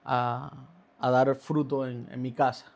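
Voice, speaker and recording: A man's voice: mid-range voice, level tone, creaky, a little mellow. A man, a podcast guest telling his faith story with many halts and restarts. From Cuba. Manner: halting, many restarts and fillers. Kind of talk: testimony in a podcast interview.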